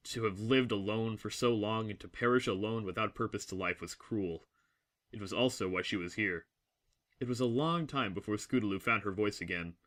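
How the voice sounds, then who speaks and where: A man's voice: on the low side, moderate pace, fairly bright tone. A man reading scripted, dramatic story narration as the narrator of an audio drama.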